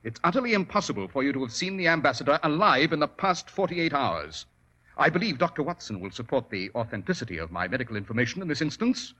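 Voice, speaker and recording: An actor playing a shrewd, commanding detective in 1940s radio drama style, with precise, formal, theatrical delivery. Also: male voice, medium pitch, very animated, fast talker, sharp tone, poor audio. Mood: coolly accusing. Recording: vintage 1940s radio recording.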